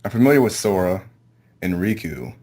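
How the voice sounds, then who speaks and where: A man's voice: deep voice, big pitch swings, moderate pace, gravelly, warm tone. A man being interviewed as a voice actor, giving brief, easygoing answers in a casual chat.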